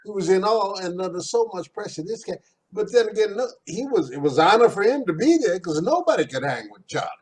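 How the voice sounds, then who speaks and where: A man's voice: sits high, animated, fairly quick. Eager slangy male interview host. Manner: eager, friendly, slangy, addresses guest as man and brother. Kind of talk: casual interview chat.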